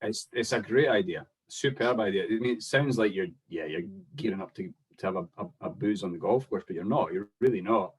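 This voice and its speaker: Scottish guy in his 40s, low husky voice, chatty, laughs a lot, stumbles into sentences. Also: a little fast, few pauses, low-quality recording. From Scotland. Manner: chatty, laughs often, repeats words as he starts sentences. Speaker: golf club head of operations, guest. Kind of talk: casual podcast chat.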